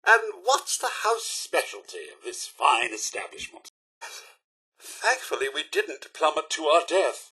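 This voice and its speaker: A dapper British robot butler for a video game. His voice sits fairly high for a man and swings theatrically up and down, with a rasp in it. He is prim, fussy and loyal, speaking with pompous, exaggerated politeness. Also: very animated, a little slow, sharp tone, full character voice. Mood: wry, cheerful.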